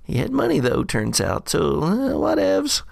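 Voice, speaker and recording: A performer voicing a slangy, jittery young adventurer, creaky voice. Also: medium pitch, wildly swooping pitch, measured pace, a little mellow, no room sound, clean studio sound, voice pushed up, exaggerated voice. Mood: cheeky, chatty. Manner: slangy, cursing, self-interrupting monologue. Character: scrappy slangy jittery adventurer Skeen recounting a bad day. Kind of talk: in-character audio diary monologue.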